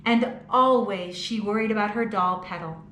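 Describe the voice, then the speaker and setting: A woman reads a children's picture book aloud, expressively, pausing to ask the young listeners questions. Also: mid-range voice, a bit bright. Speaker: children's storytime reader. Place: children's museum. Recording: roomy echo, faint background noise.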